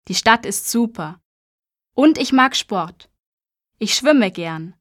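A sporty teen girl, slow, clear, dry sound. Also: on the high side, expressive, no room sound. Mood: cheerful. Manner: slow, clear self-introduction. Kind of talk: scripted textbook audio.

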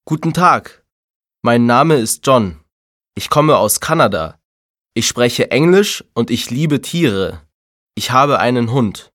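Casting: a friendly young man, measured and dry. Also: mid-range voice, animated, fairly bright tone, no echo. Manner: slow, clear self-introduction. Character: young man fond of animals. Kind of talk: scripted textbook audio.

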